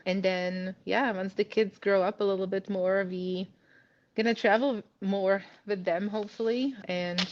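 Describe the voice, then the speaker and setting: A woman's voice: medium pitch, steady tone, measured pace, dull audio, background noise. A woman in her thirties with a Czech accent, chatting as an interview guest, laughing often and full of "like" and "you know". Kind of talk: casual interview.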